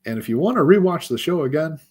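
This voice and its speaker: Husky, lively man co-hosting a stream. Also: fairly high pitch, fast. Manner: playful, teasing. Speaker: co-host of a barbecue live-stream show. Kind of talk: casual banter and show plugs. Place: video call on a live stream.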